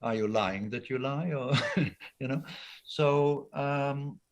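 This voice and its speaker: An older man from Wales, an art professor talking thoughtfully on a video call with many pauses and ums. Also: medium pitch. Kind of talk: casual interview talk. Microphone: laptop webcam on a video call.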